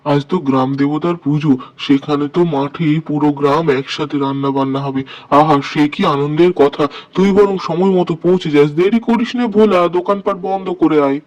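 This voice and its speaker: A man's voice: on the high side, steady tone, brisk, a little mellow, lo-fi sound, lightly acted. A man voicing a devout, cheerful village man in a Bengali cartoon fairy tale. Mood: cheerful, urging.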